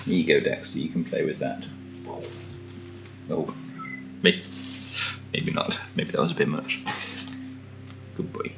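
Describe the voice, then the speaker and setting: A soft-spoken British man chatting through an ASMR unboxing in a breathy murmur that often drops near a whisper, with gently playful asides. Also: extremely low pitch, steady tone, a little slow, pauses often, over music, low-quality recording. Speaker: ASMR product reviewer.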